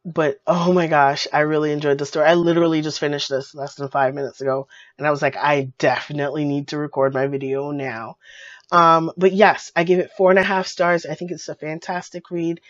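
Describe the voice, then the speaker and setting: A woman with a cold, her voice going hoarse, giving a casual video book review in a gushing way, full of fillers and repeated words. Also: deep voice, fairly even intonation, a little fast, no echo. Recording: dull lo-fi dry sound.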